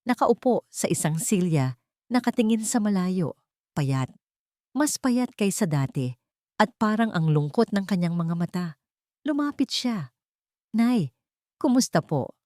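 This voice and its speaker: A woman storyteller reads a scripted drama. Her voice sits low, and the sound is dry and close, with hardly any room around it. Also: very animated, a little slow, long pauses, darkish tone, no echo. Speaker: story narrator. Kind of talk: scripted dramatic narration of a Tagalog romance story.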